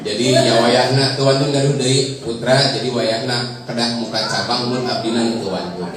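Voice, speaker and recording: A man's voice: mid-range voice, fairly even intonation, normal speed, heavy background noise. A man from Bandung with a Sundanese accent, a preacher casually telling stories about his childhood in a joking, chatty way. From Bandung, Indonesia. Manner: joking, chatty, laughs often. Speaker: preacher and guest speaker. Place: large echoey hall with an audience. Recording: echoey hall, distant mic, audience murmur.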